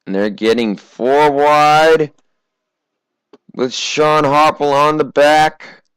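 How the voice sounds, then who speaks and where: A teenage American man from Massachusetts giving casual, conversational amateur racing commentary, his voice slightly hoarse from a cough. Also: on the high side, steady tone, slow pace, fairly bright tone, dry recording. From Massachusetts, United States. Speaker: race commentator.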